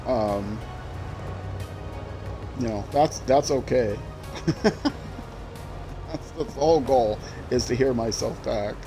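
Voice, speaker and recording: A man's voice: mid-range voice, slow pace, pauses often, music in the background. Rambling Minnesota man streaming a game. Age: older. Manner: rambling, self-deprecating, chuckling to himself. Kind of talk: casual gameplay commentary.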